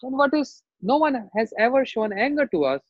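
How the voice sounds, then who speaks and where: A man's voice: extremely high pitch, very animated, normal speed, pitched above the performer's own voice, exaggerated voice. A man with an Indian accent voicing a bold young prince in an oral tale, lecturing with needling questions. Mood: cheeky, needling. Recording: roomy, dull lo-fi sound.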